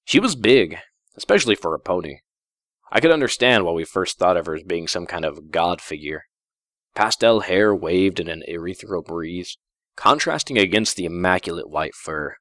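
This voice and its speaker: A man's voice: sits low, expressive, normal speed, a bit bright, no echo, clean studio sound. A man narrating a first-person story in a wry, theatrical way. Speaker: fan fiction reader.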